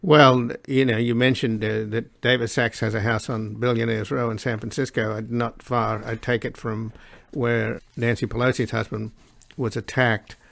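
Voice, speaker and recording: Very gravelly male interview host. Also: medium pitch, flat delivery, a bit bright, dry recording. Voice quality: gravelly, creaky. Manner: halting, opinionated, posing long leading questions. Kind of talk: broadcast political interview.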